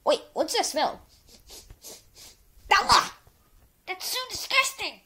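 A woman performing amateur cartoon voice lines in a theatrical, drawn-out way. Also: very high-pitched, very animated, gravelly, bright, forceful tone, no echo. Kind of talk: exaggerated amateur animation character voice lines.

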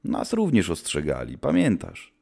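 A man's voice: sits low, wildly swooping pitch, measured pace, a little mellow, heavily acted. A man playing a grim, seasoned witch hunter who speaks solemnly, like an old-time storyteller, in a scripted audio drama. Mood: grim, reminiscing.

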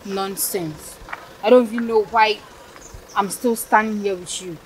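An actress playing a rude, snobbish young woman who mocks and shouts with contempt. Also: mid-range voice, unhurried, a little hiss or hum, natural voice, poor audio. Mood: outraged. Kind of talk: scripted skit acting.

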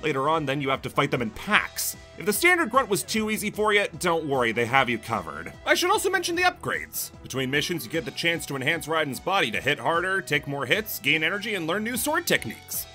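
A man narrates a video game review in a bright, crisp voice that sits a little high. His pitch swings widely as he drops sarcastic jokes between plain explanations. Faint music plays underneath. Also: huge pitch swings, a little fast, few pauses. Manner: sarcastic, jokey asides.

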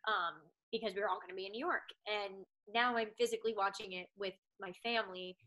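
A woman's voice: mid-range voice, steady tone, fast, pushed and bright, poor audio. A young woman from Massachusetts with a Boston accent, chatting casually as an interview guest from home, rambling with lots of "like" and "um". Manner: rambling, heavy use of "like" and "um". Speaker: actress guest.

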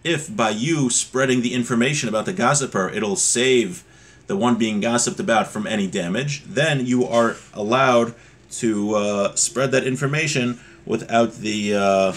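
Middle-aged man teaching, conversational and halting. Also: lowish voice. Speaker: religious teacher. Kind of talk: informal religious text lesson, explaining with examples. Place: small home room, indoors. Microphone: webcam or laptop mic at desk distance.

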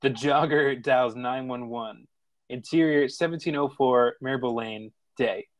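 A man reading screenplay stage directions as narrator, informal and slightly halting. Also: mid-range voice, dry recording. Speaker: narrator of a screenplay table read.

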